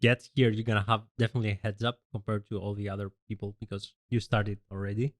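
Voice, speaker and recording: A man's voice: on the low side, little pitch movement, quick pace. Easygoing male podcast host. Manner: easygoing, laughs at own mistakes, short backchannels. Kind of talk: casual interview chat.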